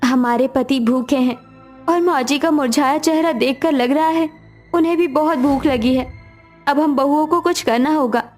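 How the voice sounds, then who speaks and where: A devoted, hopeful young daughter-in-law from a poor cartoon household, played by a woman, speaks in a highish voice. There is music behind her lines. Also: normal speed, darkish tone, lightly acted. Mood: caring. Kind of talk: cartoon dialogue acting.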